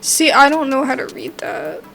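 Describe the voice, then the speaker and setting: A woman's voice: high-pitched, very animated, moderate pace, creaky, fairly bright tone, soft background music. A woman doing casual let's-play commentary on a game, musing aloud as she puzzles things out. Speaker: gamer playing a horror visual novel demo.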